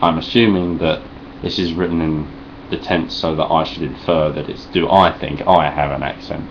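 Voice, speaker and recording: Low-voiced essex geezer mumbling sarcastic rants at his webcam, bit of hiss, dull muffled audio. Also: moderate pace. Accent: Cockney Essex. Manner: deadpan, sarcastic, rambling, heavy swearing. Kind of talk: sweary deadpan vlog response.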